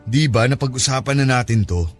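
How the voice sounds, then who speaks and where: An actor playing an evasive, weary married lover in a Tagalog audio drama, conversational and placating. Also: male voice, mid-range voice, a little fast, soft background music, natural voice. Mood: pleading, weary.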